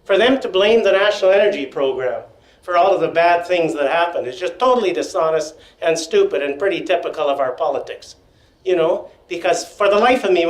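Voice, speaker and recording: An older man telling stories at a panel in a meeting room, loud-voiced with wry joking asides, feeling unwell and dizzy. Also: on the high side. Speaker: panel speaker. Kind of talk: storytelling at a panel. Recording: echoey room.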